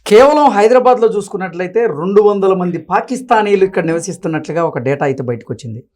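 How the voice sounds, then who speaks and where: A woman's voice: medium pitch, animated, fast, a little mellow. A woman hosting a news discussion and commenting on reports. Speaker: news talk show host.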